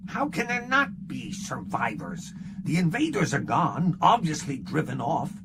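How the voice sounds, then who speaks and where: A man puts on a greedy alien barkeeper with a gravelly, wheedling voice that swoops wildly up and down. He jabs back with sarcasm in an audiobook scene. Loud noise hums under the whole recording. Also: on the high side, big pitch swings, a little slow, voice pushed up, exaggerated voice. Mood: sarcastic. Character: sly greedy male Ferengi barkeeper.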